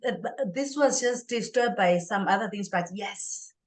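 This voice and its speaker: A middle-aged woman from Swaziland with a Southern African accent, talking reflectively as an interview guest in a deliberate, earnest way. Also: mid-range voice. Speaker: podcast guest, author and speaker. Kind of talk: reflective personal interview talk.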